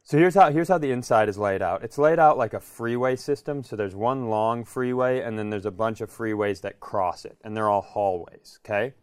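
A man's voice: fairly low pitch, moderate pace, few pauses, clean tone, no room sound. A middle-aged American man co-hosting a podcast, telling comic stories casually and emphatically, repeating phrases for effect. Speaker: podcast co-host. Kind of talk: animated comedic storytelling in casual chat.

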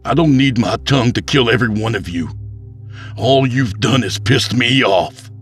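Male narrator as witch-possessed youth, vengeful, commanding, high-pitched for him, fantasy audiobook, faint music. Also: moderate pace, a bit bright, close to an everyday voice. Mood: menacing. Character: wrathful witch speaking through a young man's mouth.

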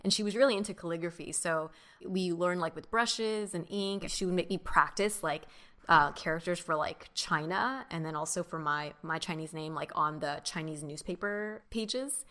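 Young woman, podcast co-host, reflective storytelling, filler-heavy, lowish voice. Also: moderate pace, fairly bright tone. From United States. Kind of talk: casual chat.

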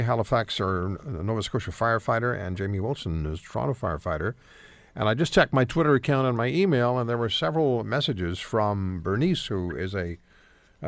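A man hosting a radio talk show, firm and quick to cut in on callers. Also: medium pitch, gravelly, no echo. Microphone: studio microphone.

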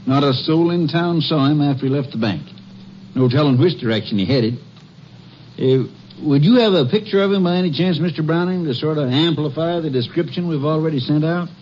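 An actor playing a stern small-town sheriff. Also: male voice, fairly high pitch, fairly quick, a little mellow, a little hiss or hum, natural voice. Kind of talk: 1950s radio drama acting. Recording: muffled old radio broadcast.

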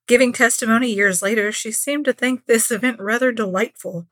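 A woman's voice: a little high, brisk, a bit bright, no echo, clean studio sound. A woman co-hosting a podcast, casually retelling a true crime story in an informal, conversational way and acting out quotes. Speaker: podcast co-host.

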